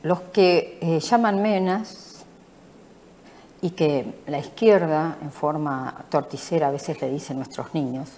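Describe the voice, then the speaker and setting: A middle-aged Argentine woman in a studio interview, speaking with a lowish pitch. She is emphatic, repeats her points and pushes them home. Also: slow, dark tone, slight background noise. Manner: emphatic and repetitive. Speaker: interviewed politician.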